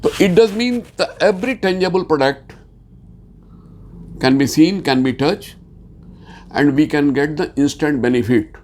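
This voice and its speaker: A man's voice: fairly high pitch, a little mellow, slight background noise. A man from Bihar, India, with a Hindi-accented Indian English, giving an insurance training presentation, hesitant with many fillers and rising to stress key points. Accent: Indian English, Hindi-speaking. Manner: slow, hesitant delivery with frequent uh fillers.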